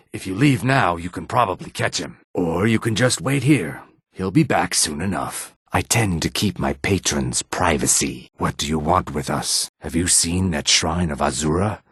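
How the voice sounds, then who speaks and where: An actor playing a calm, proud and courteous lizardfolk assassin in a video game, with a measured, menacing, theatrical delivery. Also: male voice, deep voice, husky, no echo, studio quality, heavily acted. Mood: guarded, dry. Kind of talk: video game character dialogue.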